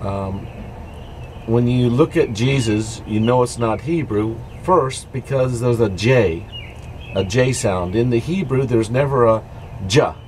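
Middle-aged man, informal devotional vlogger, unhurried and halting, mid-pitched voice, faint background noise. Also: a little mellow. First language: English. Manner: halting, explanatory. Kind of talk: informal devotional talk to camera. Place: dark greenhouse. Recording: noisy amateur recording with background ambience.

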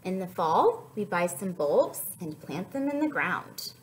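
Young woman, children's storyteller, very lively intonation, unhurried pace, sing-song delivery, prompting little listeners. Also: fairly low pitch, slight background noise. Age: young adult. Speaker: children's library story time host.

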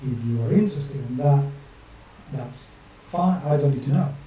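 A man's voice: medium pitch, moderate pace, warm tone. A man in his forties with a non-native English accent showing some northern English influence, chatting and joking to himself while he draws a map on screen at his desk at home. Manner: chatty, talks to himself, jokey asides. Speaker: map illustrator and channel host. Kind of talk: rambling chat while drawing on screen. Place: small home room at a desk with a webcam. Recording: very echoey room, distant mic, faint hiss.

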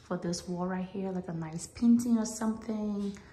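A woman vlogger giving a casual home tour in a gushing manner with drawn-out emphasis, recorded on a handheld phone in a bathroom. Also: medium pitch, mellow, a little hiss or hum. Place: small tiled bathroom indoors, being remodelled. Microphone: handheld phone behind the camera. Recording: echoey bare bathroom.

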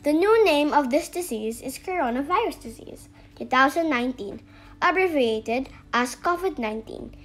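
A woman voicing a calm, reassuring doctor puppet, explaining carefully in a scripted children's educational show. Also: high voice, moderate pace. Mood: instructive.

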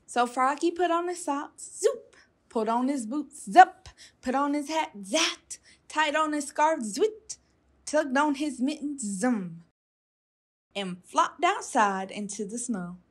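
A woman's voice: high voice, lively intonation, takes it slowly. A woman reading a children's storybook aloud expressively, with playful emphasis. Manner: emphatic, playful sound words. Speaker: storytime reader.

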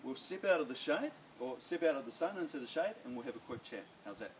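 A middle-aged man with a New Zealand accent calling bids as an auctioneer in a backyard, coaxing buyers and chanting the price in brisk repeats. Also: mid-range voice, animated, reverberant, a little hiss or hum. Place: sunny backyard outdoors. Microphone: phone camera at a distance.

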